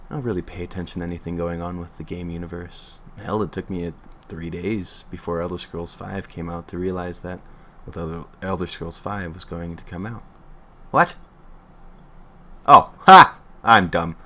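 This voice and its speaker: A man's voice: low voice, moderate pace, bright, forceful tone, slight background noise. A man reading a creepy internet story aloud on a livestream in an offhand way, stumbling and correcting himself as he goes.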